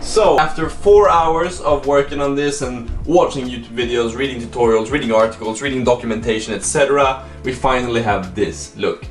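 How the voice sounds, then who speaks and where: Man, casual slangy vlog host, slightly raised pitch, echoey room, thinking aloud, informal delivery. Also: normal speed, few pauses. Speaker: host of a startup coding video diary. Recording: echoey room, faint music behind.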